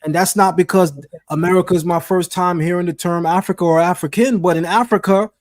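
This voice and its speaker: High-pitched emphatic man. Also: steady tone, fairly quick. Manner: emphatic, preacher-like call and response. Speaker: host. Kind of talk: casual discussion with a guest.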